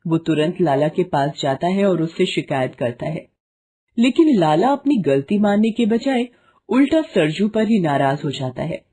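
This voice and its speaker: A woman's voice: fairly low pitch, very animated, a little slow, warm tone, slightly rough. A woman narrating a scripted moral story for children.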